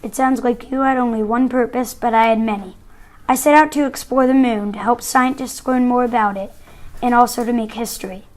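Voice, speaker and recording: Proud astronaut young woman reading, fairly high, steady. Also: brisk, natural voice. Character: male moon astronaut, proud. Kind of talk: school-project role-play reading.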